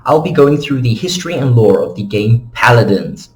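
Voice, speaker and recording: A man's voice: mid-range voice, animated, normal speed. A man hosting an informal explainer, talking casually and conversationally to his viewers as he narrates. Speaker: host of a game lore channel.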